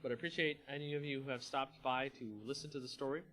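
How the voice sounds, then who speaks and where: A man's voice: mid-range voice, fairly even intonation, quick pace, fairly bright tone. A man hosting a livestream, moving between casual chat and dramatic story reading. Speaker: channel host and story reader. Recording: music playing behind the voice. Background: background music.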